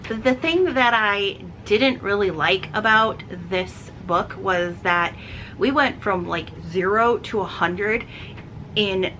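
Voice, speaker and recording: A woman's voice: mid-range voice, takes it slowly, bright, forceful tone, a little hiss or hum, rough recording. A woman hosting a casual, chatty book vlog, talking enthusiastically and stressing words by repeating them. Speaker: book reviewer and video host.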